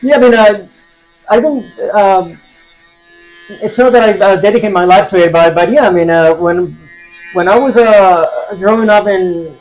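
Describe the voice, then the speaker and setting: A man in his forties from Puerto Rico talks casually as a podcast guest. His voice sits high for a man, and he hesitates often, filling gaps with "uh" and starting his sentences over. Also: moderate pace, darkish tone, faint music behind, rough recording. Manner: hesitant, many fillers and restarts. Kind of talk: casual conversation.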